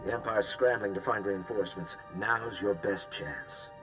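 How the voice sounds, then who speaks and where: A man's voice: fairly low pitch, normal speed, over music, close to an everyday voice. A man playing a grave, remorseful statesman in a video game, speaking tersely and seriously. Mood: grave urgency. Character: grave, remorseful male statesman urging on a hero. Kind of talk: dramatic video game dialogue.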